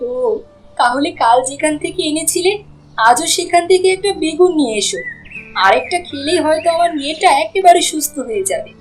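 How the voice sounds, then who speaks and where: A woman's voice: sits high, lively intonation, fairly quick, slight background noise, low-quality recording. A woman voicing a tender, anxious village mother in a cartoon. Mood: amazed, hopeful. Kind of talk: Bengali cartoon voice acting.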